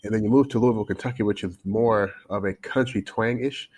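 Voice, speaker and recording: A man's voice: lowish voice, steady tone, fairly quick, a little mellow, husky. A man in his forties with a North American accent, telling his story conversationally as a podcast guest. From Canada, then United States from age eight. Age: 40s. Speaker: life coach, podcast guest.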